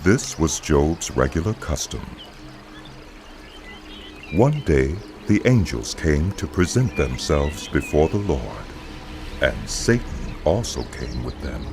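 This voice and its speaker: A man's voice: extremely low pitch, very animated, slow, gravelly, over music. A man narrating a dramatized audio Bible as a solemn storyteller.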